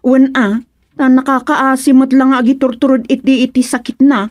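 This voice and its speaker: A woman's voice: high-pitched, little pitch movement, normal speed, a little mellow, no room sound, studio quality, natural voice. A woman playing a chatty, insistent village woman pleading for housemaid work in a radio comedy-drama. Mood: plaintive.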